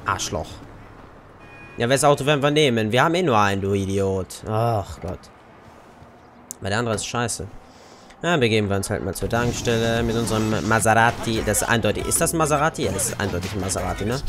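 A man's voice: fairly low pitch, fairly quick, a bit bright. A young man with an Austrian German accent giving casual, chatty commentary over his gameplay and cursing at other drivers. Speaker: let's play gamer. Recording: game car noise under voice.

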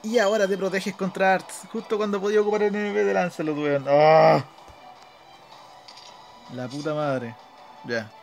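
A Chilean man with a fairly high voice hosts a gameplay video. He comments casually as he plays, in informal, slangy speech, often muttering to himself. Game music plays behind him. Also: fairly even intonation, normal speed, vocal fry, pauses often. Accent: Chilean Spanish. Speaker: gaming video host.

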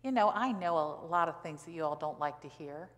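An American woman in her sixties, a pastor giving a sermon in a conversational, emphatic way with rhetorical questions and pauses for stress. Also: low-pitched, expressive, dull audio. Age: 60s. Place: church sanctuary, indoors at a pulpit. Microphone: pulpit gooseneck microphone. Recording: reverberant church hall.

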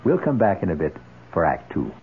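A man hosting a radio mystery play, narrating in a wry, deliberate, theatrical way. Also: sits low, very animated. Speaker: host of radio mystery anthology. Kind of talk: dramatic scripted radio narration. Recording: tinny old radio broadcast. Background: music.

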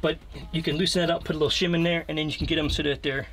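A man's voice: fairly high pitch, level tone, very fast, a bit bright. An American man in his fifties giving a casual, unscripted walkthrough in a plain-spoken, hesitant way, recorded inside a small camper trailer. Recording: clearly noisy location sound with clicks.

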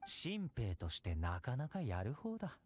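A man playing a confident, easygoing young warrior and caring elder brother in an anime game, calm and teasing. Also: medium pitch, unhurried, heavy background noise. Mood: assured command. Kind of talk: anime video game acting.

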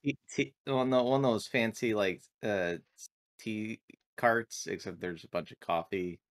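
A man's voice: lowish voice, unhurried, no echo, studio quality. A man playing a tabletop roleplaying game, casually describing what his character does.

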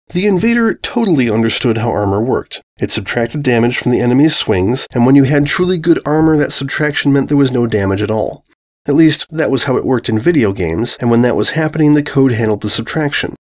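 A man's voice: medium pitch, darkish tone. A man reading scripted comic fan fiction as narrator, in a dry, wry manner. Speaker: story narrator.

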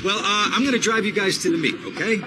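An actor playing a jittery, sleazy lawyer in a video game, nervous, stammering and repeating himself. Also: male voice, high-pitched, fast talker, over loud music, natural voice. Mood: nervous eagerness. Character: jittery, fast-talking sleazy male lawyer. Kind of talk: video game cutscene acting.

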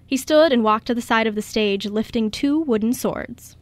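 A woman narrates a radio drama from a script. Her voice is on the high side, and she reads as a storyteller between the characters' lines. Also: normal speed, no echo.

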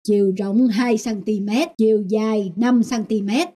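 A woman's voice: medium pitch, fairly even intonation, measured pace, background noise, close to an everyday voice. A woman voicing a kindly older bird mentor in a cartoon, encouraging and instructive. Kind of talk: cartoon voice acting. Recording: music behind the voice.

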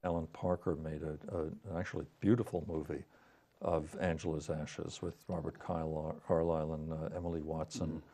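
A man's voice: extremely low pitch, little pitch movement, normal speed, warm tone. A man in his sixties interviewing a guest about books in a hesitant, thoughtful way, on a lapel mic in a studio. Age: 60s. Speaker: interviewer, writer and musician. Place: quiet TV studio set with bookshelves.

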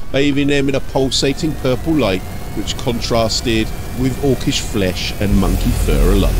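A man's voice: medium pitch, noisy background. A man narrating from a script as an audiobook storyteller and host.

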